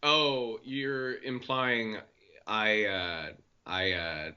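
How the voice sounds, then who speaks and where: Young male guest in his twenties: deep, bright-edged voice, easygoing and self-deprecating, dull lo-fi podcast audio. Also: very slow. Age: 20s. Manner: relaxed, self-deprecating, laughs easily. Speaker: voice actor guest. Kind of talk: casual podcast interview.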